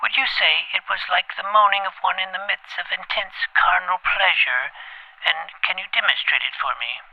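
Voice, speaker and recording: A man playing a pompous, precocious twelve-year-old boy detective in an audio drama, formal and wordy, with a creaky voice. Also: high voice, moderate pace, no echo, dull audio. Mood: businesslike. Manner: formal, verbose, clipped interrogator's delivery. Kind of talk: scripted audio drama acting.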